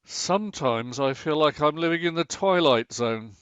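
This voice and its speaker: A man's voice: on the high side, animated, moderate pace, fairly bright tone, smooth, dry recording. A man acting a long-suffering, dryly sarcastic husband in a domestic radio comedy. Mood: exasperated. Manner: dry, exasperated sarcasm.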